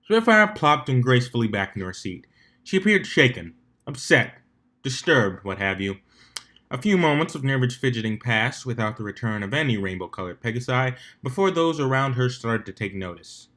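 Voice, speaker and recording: Man narrating fan fiction, measured amateur reading. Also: mid-range voice, very animated, a bit bright. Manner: measured reading with occasional stumbles.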